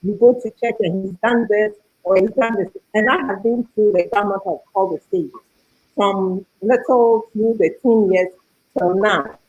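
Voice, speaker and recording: A woman's voice: mid-range voice, a little slow, darkish tone, husky, no room sound, lo-fi sound. An earnest woman, a parent guest, talking about her experience on a video call. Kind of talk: online talk show chat.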